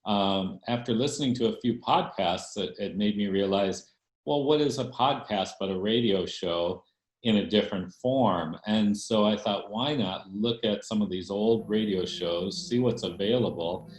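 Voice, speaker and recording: A man's voice: lowish voice, normal speed. A man answering interview questions as a theater director, explaining things conversationally. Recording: roomy echo, faint music.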